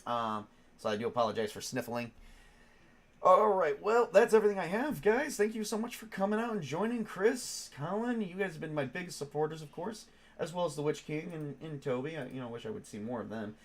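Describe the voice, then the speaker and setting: A man's voice: on the high side, animated, brisk. A man with a cold, stuffed up, chatting casually as a tabletop game master on a stream, rambling with many fillers and restarts. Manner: rambling, lots of ums and restarts. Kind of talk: casual live-stream chat.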